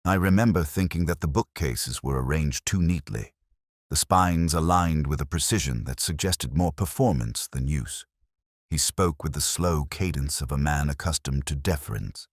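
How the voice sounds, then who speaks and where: An older man playing a melancholy, cerebral detective, narrating a scripted mystery in a measured, reflective way. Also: a bass voice, dry recording, studio quality. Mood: uneasy, reflective. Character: melancholy, cerebral, brooding male English police detective.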